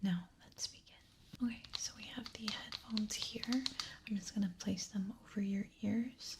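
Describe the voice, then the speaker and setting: A woman's voice: mid-range voice, fairly even intonation, normal speed, very noisy. Whispering woman, ASMR ear exam roleplay. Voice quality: breathy unvoiced whisper. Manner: gentle, soothing, reassuring instructions. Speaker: ASMR performer playing an ear examiner.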